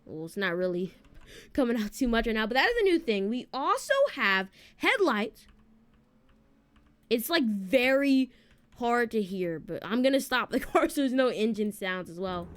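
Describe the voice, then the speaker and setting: Female game presenter, high and very animated, informal walkthrough commentary aimed straight at viewers. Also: moderate pace. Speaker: video game streamer and presenter. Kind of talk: casual gameplay walkthrough commentary.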